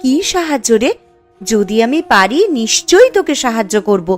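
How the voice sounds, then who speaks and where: An actress playing a caring, sensible young village wife in a cartoon story, earnest and chatty. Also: female voice, on the high side, big pitch swings, moderate pace. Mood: concerned. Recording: music under the voice.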